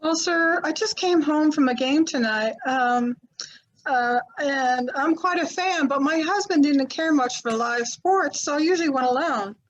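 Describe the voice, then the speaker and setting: A woman's voice: sits high, measured pace, lo-fi sound. A woman playing a flustered widow in a 1940s-style radio mystery, talking in a breathless, stammering way. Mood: shaken. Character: flustered middle-aged widow, woman, quick to defend herself.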